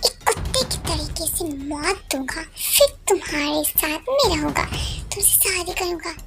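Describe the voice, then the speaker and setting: Woman playing a syrupy, creepy male kidnapper, high voice. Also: lively intonation, unhurried, background noise, heavily acted. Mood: syrupy menacing. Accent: Indian. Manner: syrupy, coaxing. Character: creepy, cooing male kidnapper, sweetly menacing.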